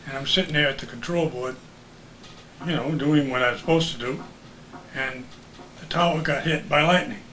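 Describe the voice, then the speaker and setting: A man's voice: on the high side, normal speed, long pauses, sharp tone, a little hiss or hum, rough recording. An older man, a veteran radio broadcaster, casually reminiscing in conversation.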